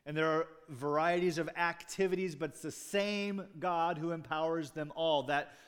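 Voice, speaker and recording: Conversational pastor, man, slightly high voice. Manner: conversational, jokes and asides with the congregation; restarts and fillers, speaking off the cuff. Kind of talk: sermon.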